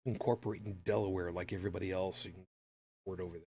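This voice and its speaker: Halting, sardonic American man podcasting. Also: sits low, steady tone, warm tone, slight background noise. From United States. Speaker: podcast co-host. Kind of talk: casual opinionated news commentary.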